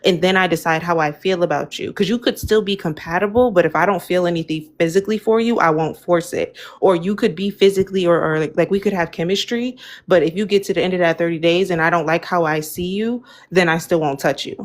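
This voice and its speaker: A woman on a panel in a casual group chat, laying out her views in a firm, deliberate way. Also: on the low side. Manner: firm, matter-of-fact, deliberate.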